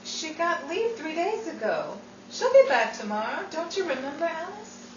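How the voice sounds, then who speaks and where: A woman's voice: extremely high pitch, very animated, moderate pace, lo-fi sound, a little hiss or hum. A woman acting as a brash, big-hearted young wartime woman in slangy 1940s stage style, caught from far off on an echoey stage. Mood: gentle. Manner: slangy, outspoken. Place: theater stage.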